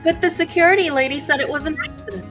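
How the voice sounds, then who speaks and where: A woman voicing a plucky teenage girl sidekick in a game dub, earnest and quick to protest. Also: very high voice, fairly bright tone, faint music behind. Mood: indignant. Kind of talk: fan live dub of a visual novel game.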